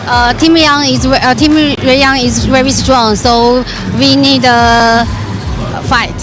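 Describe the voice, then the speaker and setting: A woman player whose first language is Mandarin answers slowly in hesitant English, pausing often. Loud crowd noise and music surround her at the venue. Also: sits high, fairly even intonation. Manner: halting, hesitant English with many fillers. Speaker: esports player. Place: esports event venue. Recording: live event with loud crowd.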